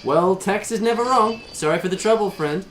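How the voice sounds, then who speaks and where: A man's voice: high voice, fairly quick, fairly bright tone, echoey room, slight background noise. A man playing a cavalier, wisecracking space smuggler in an audio drama, breezy and glib. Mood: teasing. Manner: breezy, glib, mock-innocent.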